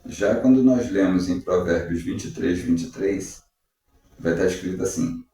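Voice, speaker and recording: Mellow man in an echoey room. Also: deep voice. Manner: measured, uses rhetorical questions. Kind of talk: reflective devotional talk. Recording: very echoey room, distant mic.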